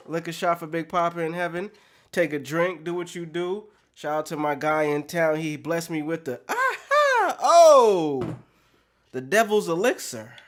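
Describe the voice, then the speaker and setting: A man hosting a podcast livestream in a room with plants, talking casual and profane, loudly hyping up his chat with slang and shouted greetings. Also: a little high.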